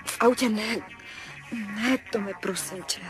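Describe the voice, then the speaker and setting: A woman's voice: medium pitch, close to an everyday voice. An actress playing a wistful young woman in love, speaking intimately and insistently to her man in a 1970s radio drama. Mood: uneasy. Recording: music under the voice.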